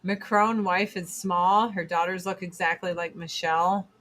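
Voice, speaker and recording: A woman in her forties with a deep voice, chatting bluntly and profanely on a casual livestream while doing her makeup. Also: level tone, moderate pace, a bit bright. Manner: blunt, profane, sarcastic, reads chat comments aloud. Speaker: livestream host.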